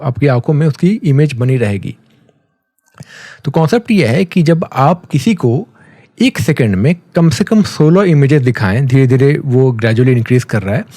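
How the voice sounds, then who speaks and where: Man, Indian accent, soft dark tone, mid pitch, conversational tutorial instructor, step-by-step explaining, English terms mixed in, close dry sound. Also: husky, no echo. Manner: conversational, mixes English terms, often checks in with a tag phrase. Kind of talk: casual screen-recorded tutorial, explaining step by step.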